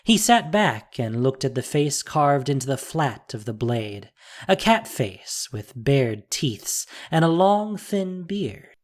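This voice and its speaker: A narrator reading a scripted fantasy audio drama in a measured, dramatic, descriptive way. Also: mid-range voice, sing-song.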